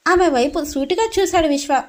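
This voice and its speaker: High-pitched woman storyteller. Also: fairly quick. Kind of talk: scripted story narration.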